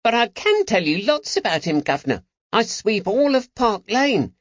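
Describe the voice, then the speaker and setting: A man voicing a cheeky, eager young street urchin with a high-pitched voice and slangy talk, in an audiobook. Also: big pitch swings, moderate pace, no room sound, dull audio. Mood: bargaining, cheeky. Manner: colloquial street slang, bargaining.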